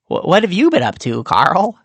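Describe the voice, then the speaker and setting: A man's voice: high-pitched, wildly swooping pitch, moderate pace, husky, no room sound, studio quality, voice pushed up, exaggerated voice. A man voicing a lonely, goofy little monster from a storybook, eager and awkward as he jokes. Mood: eager, joking.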